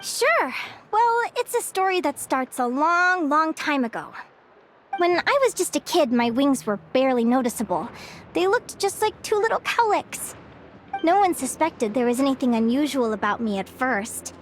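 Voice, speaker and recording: A woman's voice: high-pitched, sing-song, normal speed, a little hiss or hum. An actress voicing an earnest, cheerful young winged girl who is not human and talks eagerly. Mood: storytelling. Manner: cheerful, eager, a bit flustered at times. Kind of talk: video game voice acting. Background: game music.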